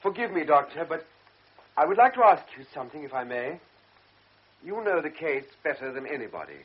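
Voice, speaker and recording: A man's voice: fairly high pitch, very animated, fairly quick, breathy edge, highs cut off. A man playing an earnest, anxious young army lieutenant in a radio drama, speaking in an eager, pleading, hesitant way.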